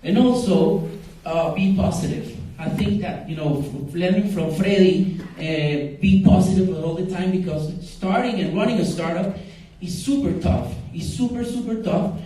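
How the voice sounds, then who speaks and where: A man in his forties from Costa Rica with a Costa Rican Spanish accent, giving an informal, halting talk about his own story as a startup founder. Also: high-pitched, warm tone. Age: 40s. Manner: halting, repeats words for emphasis. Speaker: startup founder speaking at a summit. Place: event room at a startup summit. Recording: very echoey room, far from the mic.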